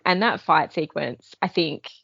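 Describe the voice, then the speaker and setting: A woman's voice: low-pitched, lively intonation, a little slow, gravelly, a bit bright, dry recording. A woman co-hosting a podcast, chatting casually and enthusiastically about books. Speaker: podcast co-host. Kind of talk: casual book discussion. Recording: dull, band-limited sound, dry.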